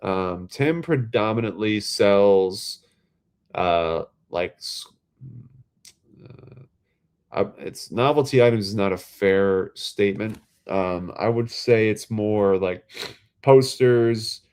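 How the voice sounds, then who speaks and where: A man, congested and a little nasal from a head cold, chatting casually and haltingly on his livestream, full of fillers and restarts. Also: lowish voice, a little mellow, smooth. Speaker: livestream host.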